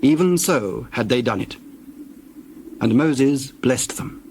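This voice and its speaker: A man narrating scripture in a steady, measured reading voice. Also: medium pitch, slight background noise.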